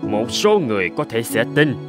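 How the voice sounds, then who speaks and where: A man voicing an honest, dignified older gentleman in a cartoon morality tale. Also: medium pitch, sing-song, moderate pace, music in the background, natural voice. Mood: calm, earnest.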